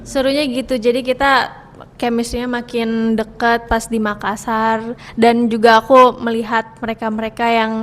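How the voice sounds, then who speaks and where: A woman's voice: on the high side, level tone, normal speed. A woman giving a casual interview as a guest actress, explaining things informally with small asides. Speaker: actress interviewed as film cast member. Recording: reverberant room, faint background noise.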